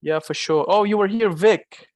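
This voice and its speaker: A man's voice: high voice, moderate pace, a little mellow, no echo. A man with a Malaysian accent, answering viewers' questions about trading in a casual, chatty way full of fillers and restarts. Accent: Malaysian English. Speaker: trading mentor. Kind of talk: live-streamed trading Q&A.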